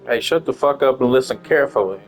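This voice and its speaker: A man's voice: medium pitch, lively intonation, fast talker. Blunt man casually reacting to a game. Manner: blunt, profane. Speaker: game streamer. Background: faint game music.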